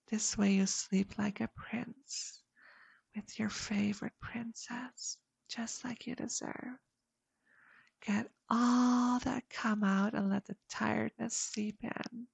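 A woman talks in a hushed, coaxing whisper, very close to the microphone. Her voice sits a little high and carries a husky, gravelly texture. She takes her time, and the sound is dry, with no room around it. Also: no room sound. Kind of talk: intimate whispered audio roleplay.

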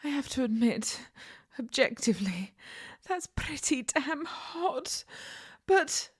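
A woman voicing a playful young catgirl who teases in breathy whispers, meows and purring growls. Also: high voice, sing-song, slow pace, full character voice. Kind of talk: solo audio roleplay.

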